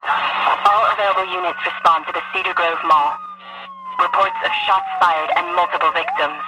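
A man's voice: high voice, wildly swooping pitch, normal speed. A man playing an urgent police dispatcher over a radio in an audio drama. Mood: urgent, official. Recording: very noisy radio transmission with sirens.

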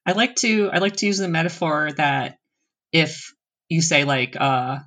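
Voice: mid-range voice, animated, unhurried, fairly bright tone. A young adult from the US East Coast speaking in a casual interview, thoughtful and rambling, often breaking into laughter. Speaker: interview guest.

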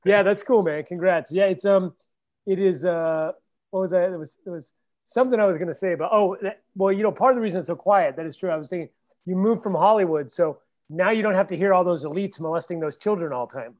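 A man's voice: high-pitched, quick pace, a little mellow, muffled recording. A man co-hosting a podcast, chatting casually and telling funny stories with mimicked voices. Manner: joking storyteller, mimics voices. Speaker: podcast co-host. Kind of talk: casual chat.

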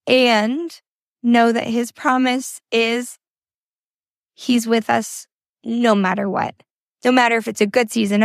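A young woman with a hoarse, raspy voice left over from a cold speaks slowly and earnestly, coming back to the same encouragement again and again. Her voice sits a little high, and the recording is dry and close with no room sound. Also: dry recording. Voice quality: raspy, scratchy. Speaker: podcast host. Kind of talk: faith-based motivational podcast talk. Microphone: informal recording setup.

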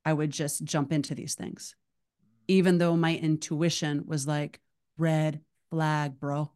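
A woman's voice: sits low, darkish tone. A woman hosting a podcast, chatting casually and rambling off on tangents. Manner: chatty, rambling, self-described tangent talker. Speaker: podcast host.

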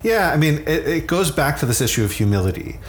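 A man speaking conversationally as an expert guest, explaining science in a measured, qualifying way. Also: a little high, big pitch swings. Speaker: sleep scientist, interview guest.

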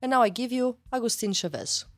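A woman's voice: on the high side, lively intonation, brisk, no room sound, faint music behind. A woman hosting a podcast, reading an upbeat introduction and then asking her guest questions politely. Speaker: podcast host.

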